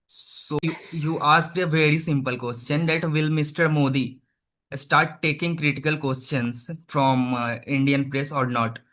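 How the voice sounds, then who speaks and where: Guy interviewing someone over a call, lots of uhs, halting questions. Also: on the high side, steady tone, fairly bright tone. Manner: hesitant, many uhs, simple phrasing. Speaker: interviewer host.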